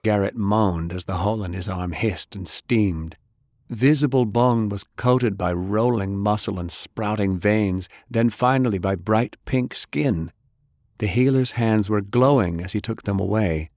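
A man narrating an audiobook in a measured, expressive reading. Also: fairly low pitch, dry recording, studio quality.

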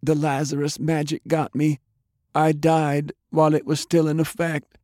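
A male narrator plays an undead woman in an audiobook drama, her voice lifted high and soft. She pleads with sorrow and tenderness, yet stays resolute. The sound is close and dry. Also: monotone, moderate pace, no echo, close to an everyday voice. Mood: pained, resigned. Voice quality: trembling.

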